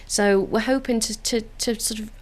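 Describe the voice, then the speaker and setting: A woman's voice: on the high side, fairly even intonation, normal speed. A woman with a Liverpool accent, speaking in an earnest, enthusiastic way in a radio studio interview about community work. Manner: earnest, enthusiastic, with frequent filler restarts. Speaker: council community engagement officer.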